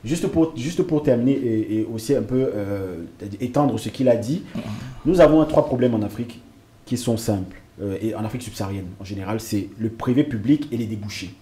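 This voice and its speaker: Dark-voiced man on a debate panel. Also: lowish voice. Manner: measured, hesitant, with repeated fillers. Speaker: panel guest. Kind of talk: televised panel discussion.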